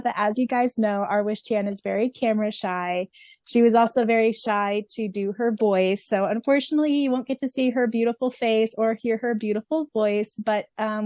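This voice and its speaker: A woman's voice: a little high, a little mellow, no echo. A woman chatting casually on a podcast in an informal, storytelling way as a co-host. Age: 30s or older.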